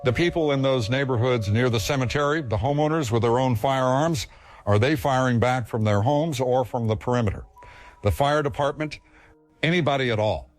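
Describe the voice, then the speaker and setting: A man's voice: mid-range voice, moderate pace, soft background music, lightly acted. A man playing a serious local news anchor, delivering scripted breaking news formally in a horror audio drama. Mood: grave, urgent.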